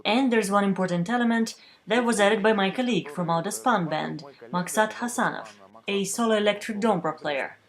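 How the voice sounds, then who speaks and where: A woman reading a translated news voice-over at a measured, steady pace, recorded in a booth. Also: medium pitch. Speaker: voice-over interpreter for a news feature.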